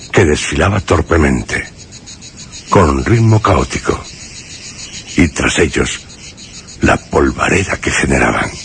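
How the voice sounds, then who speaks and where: A man from Spain, with a Spanish accent, playing a brooding, haunted narrator in a suspense radio drama, speaking solemnly and deliberately with dramatic pauses. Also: rumbling low, vocal fry, fairly bright tone, slight background noise, exaggerated voice. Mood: anguished, grave. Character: brooding, haunted man recounting his horrifying memories. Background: music behind the voice.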